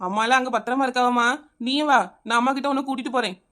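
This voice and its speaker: A woman playing a brave young village aunt who talks quickly and reassuringly in an amateur audio drama. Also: high-pitched, fairly even intonation, fairly bright tone. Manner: reassuring, brisk, fast-talking.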